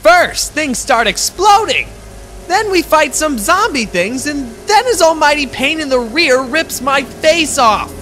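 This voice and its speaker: A woman's voice: high voice, unhurried. Woman as a swooping, cocky young hedgehog hero. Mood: exasperated. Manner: exasperated, expressive, blurting. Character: cocky young male hedgehog hero, rattled but defiant. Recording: loud music behind.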